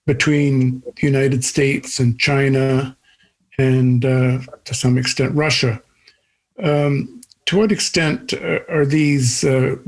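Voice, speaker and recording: A man moderating a panel discussion, measured and pausing between thoughts. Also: mid-range voice, darkish tone.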